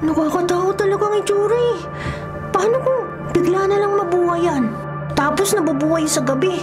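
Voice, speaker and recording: A woman plays a jumpy little boy in a radio horror play. His voice is very high and shrill, excitable and fearful, over clearly heard music. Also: brisk. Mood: nervous. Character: jittery, easily spooked young boy, the best friend. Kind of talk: radio horror drama acting. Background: background music.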